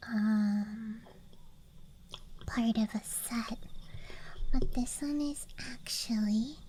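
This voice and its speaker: Young woman for ASMR: high, breathy whisper, very slow hushed musing with childlike wonder, close-miked over faint outdoor night ambience. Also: slight background noise. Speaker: ASMR streamer playing a dice goblin persona. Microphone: close microphone. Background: night-time campground ambience.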